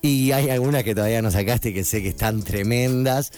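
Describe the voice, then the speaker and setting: Male radio host, Argentine accent, mid-pitched voice, effusive and informal interview chat over background music. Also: brisk, darkish tone. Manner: effusive, informal, with emphatic repetition.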